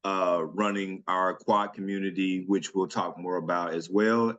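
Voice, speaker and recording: A man with a very low voice presents a webinar over a video call. He talks in a relaxed, chatty way, with plenty of ums and small restarts as he goes. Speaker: senior director presenting a webinar. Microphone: computer mic on a video call.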